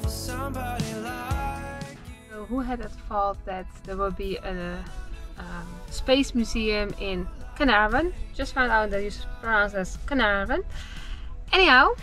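A young woman chatting casually to a camera in a camper van, with frequent halting pauses. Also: medium pitch, animated, over music. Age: young adult. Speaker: travel vlogger. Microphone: camera at arm's length.

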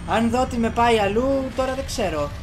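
A man's voice: extremely high pitch, expressive, noisy background. A young adult man giving casual let's play commentary as host of a gaming video. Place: small bedroom. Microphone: desk USB microphone, headset worn. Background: game music.

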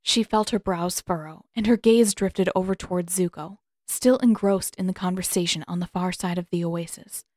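A woman's voice: on the low side, moderate pace, few pauses, darkish tone, no room sound. A woman reading scripted fiction as an audiobook narrator, close to the microphone.

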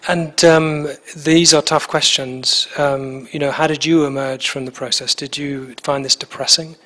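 A man's voice: a little high, steady tone, unhurried, sharp tone. A middle-aged man interviewing a guest at a festival, asking polite, somewhat hesitant questions into a handheld mic in a small indoor room.

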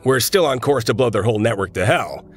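A man's voice: mid-range voice, animated, brisk, lightly acted. A brash mercenary team leader voiced by a man grumbles and orders casually. His intonation swings widely, with music faintly behind. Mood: grumbling. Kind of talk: video game military acting.

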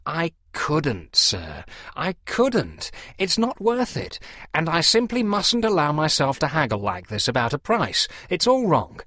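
A man's voice: medium pitch, sing-song, moderate pace, fairly bright tone, no room sound. Guy doing a fussy polite sly parson type for a storybook, voice swoops up and down a lot, dry sound. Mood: apologetic haggling. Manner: over-polite, apologetic, feigning reluctance. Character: sly middle-aged male antiques dealer posing as genteel, fussy parson. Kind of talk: storybook character dialogue.

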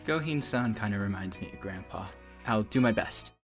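A man's voice: lowish voice, lively intonation, normal speed, faint music behind. A man voicing an earnest, brooding seventeen-year-old male wolf in a manga dub, subdued in manner.

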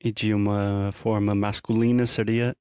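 Slow-talking man co-hosting a language podcast. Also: fairly low pitch, level tone, darkish tone, clean tone, no echo, clean studio sound. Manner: asks questions and checks understanding. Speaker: podcast co-host. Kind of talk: casual language-teaching chat.

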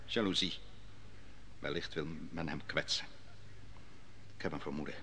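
An actor playing the prophet Daniel in an old radio drama, telling his story in a measured, solemn way. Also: a bass voice, fairly even intonation, sharp tone, a little hiss or hum. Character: prophet Daniel, a calm, grave advisor. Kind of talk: 1950s-60s radio drama acting.

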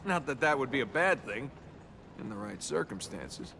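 A man's voice: mid-range voice, sing-song, normal speed, vocal fry, pushed and bright, a little hiss or hum, heavily acted. An American man playing a cocky, wisecracking young adventurer prince in a video game, with flippant, sardonic banter. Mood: teasing, relaxed. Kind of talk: video game cutscene acting.

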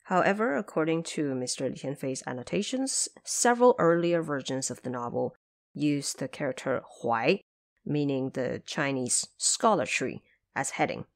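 A woman's voice: sits low, expressive, normal speed. A woman hosting and narrating a scripted explainer in a didactic, carefully enunciated manner. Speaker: host and translator.

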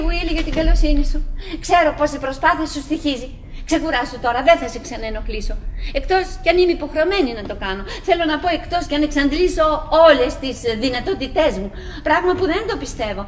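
An actress playing a chatty, wistful wife who clings to cheer, rambling and prompting herself in a theatrical radio-play monologue. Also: female voice, high-pitched, fairly bright tone, slight background noise. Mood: tender, grateful. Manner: rambling, self-prompting, swooping between coaxing and musing.

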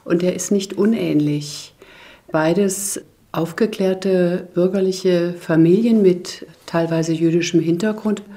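An older woman explains informally as an expert interviewee. Her voice is mellow and a little low. She sounds like a professor in conversation.

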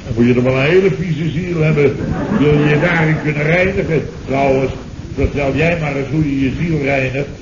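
A man's voice: fairly high pitch, moderate pace, reverberant, dull audio, close to an everyday voice. Man acting a blustering boss, noisy old radio recording. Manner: exclamatory, indignant, repeats himself. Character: blustering, indignant boss recounting his misadventures. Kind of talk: 1970s radio comedy acting.

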